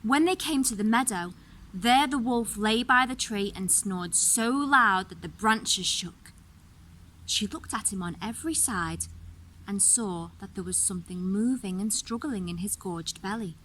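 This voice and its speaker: A woman's voice: medium pitch, unhurried, a bit bright, slight background noise, poor audio. A woman narrating a fairy tale expressively, with dramatic, varied emphasis. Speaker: story reader.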